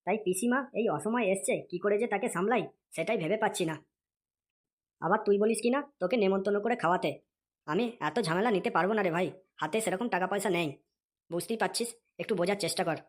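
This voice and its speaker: Woman doing a cheapskate young guy in a cartoon, grumbles and swears, rattles on fast. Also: medium pitch, natural voice. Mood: pleading. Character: stingy young man, fretful and short-tempered. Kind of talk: Bengali comedy cartoon dialogue.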